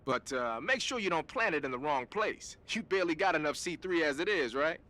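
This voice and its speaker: A man's voice: fairly high pitch, lively intonation, brisk, bright, forceful tone. An actor playing a cool, slangy male weapons expert who briefs casually in a video game. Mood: breezy, instructive. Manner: casual, streetwise, chatty.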